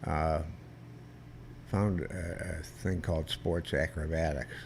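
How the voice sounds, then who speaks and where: An older man, a veteran guest, telling stories in a rambling, halting way with many restarts and a loud voice that carries. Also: rumbling low, little pitch movement, slight background noise. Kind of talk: casual storytelling interview.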